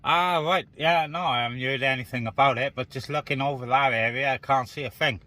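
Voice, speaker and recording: Bright-voiced man on CB radio. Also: medium pitch, fairly quick, a little hiss or hum. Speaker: CB radio operator, net helper. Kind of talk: casual radio chat and calls.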